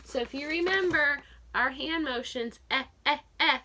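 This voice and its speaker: A woman teacher reading a picture book aloud to children, sounding out letters and vowel sounds clearly and asking her listeners questions. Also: sits high, moderate pace, pushed and bright. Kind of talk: children's read-aloud phonics lesson.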